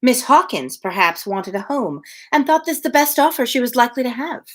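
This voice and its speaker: Woman reading as a composed, guarded young gentlewoman. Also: on the high side, sing-song. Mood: reasoning. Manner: measured, hesitant politeness.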